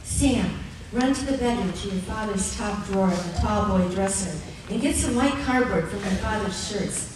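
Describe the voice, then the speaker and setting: A woman playing a kindly, encouraging mother with a measured, gracious manner in a live radio play. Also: medium pitch, expressive, a crowd in the background, close to an everyday voice. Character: kindly, encouraging mother, also narrating memories. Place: live stage in arts center. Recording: echoey hall, distant mic, noisy.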